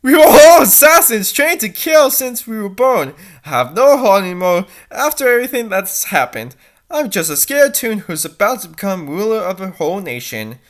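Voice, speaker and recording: A woman's voice: medium pitch, very animated, normal speed, natural voice. A woman voicing a melodramatic, edgy fourteen-year-old cat princess from a fanfiction, in an overdramatic, mock-serious manner. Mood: grim.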